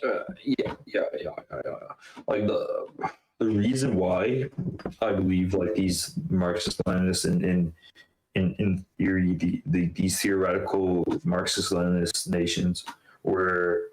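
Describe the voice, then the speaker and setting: An Irish man with a very deep, flat voice talks in a loose, rambling way, leaning on "like" and "uh" as he builds his point. His voice carries a roomy echo, as if he is recorded in a bare space. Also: unhurried, slight background noise. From Ireland. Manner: rambling, heavy filler words. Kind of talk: casual online debate chat. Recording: roomy echoey sound.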